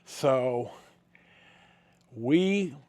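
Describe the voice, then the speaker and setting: An American man in his sixties telling a personal story casually, in a halting, colloquial, conversational way. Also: mid-range voice, expressive, darkish tone. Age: 60s. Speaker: storyteller, vlog host.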